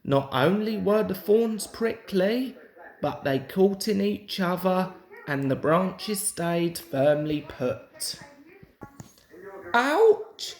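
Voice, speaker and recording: A woman's voice: lowish voice, slow, darkish tone, reverberant. A woman narrating a children's storybook aloud as a reader.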